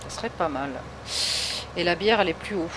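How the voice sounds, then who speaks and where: A woman's voice: on the low side, a little fast, background noise. A woman from Paris with a Parisian French accent, chatting casually through a live game stream and answering viewers. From Paris, France. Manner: chatty, answers chat viewers, laughs often. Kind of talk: casual live gameplay commentary.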